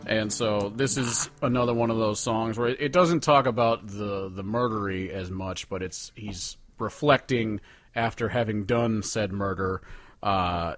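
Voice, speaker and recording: A man's voice: on the low side, a little slow, dry recording. A man co-hosting a casual talk show, rambling through his commentary in a loose, sweary, conversational way. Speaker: podcast co-host. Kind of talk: casual chat, ranking songs with commentary.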